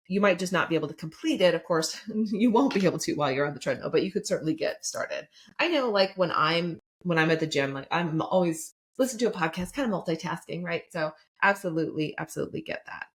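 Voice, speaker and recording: A woman in her fifties hosting a solo motivational podcast, chatty and enthusiastic, often asking "right?". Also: fairly low pitch. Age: 50s. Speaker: podcast host and coach.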